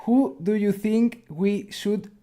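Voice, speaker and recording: A man with a Spanish accent co-hosting an interview show, asking questions in halting English and repeating them. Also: sits high, warm tone. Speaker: podcast co-host asking questions.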